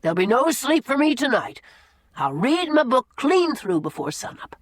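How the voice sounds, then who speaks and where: A warm-hearted old sailor from a storybook, talking in homely, folksy turns, as a woman voices him. His lines come in a lively, rising lilt. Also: sits high, moderate pace, dry recording, studio quality, voice pushed up. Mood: proud. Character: old male sailor, proud and hospitable.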